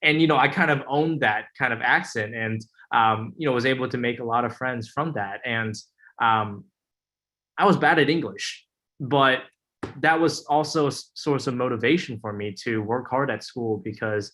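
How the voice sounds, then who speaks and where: A young man sharing his story as a webinar panelist over a video call, earnest and halting with frequent ums and uhs. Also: medium pitch, pushed and bright.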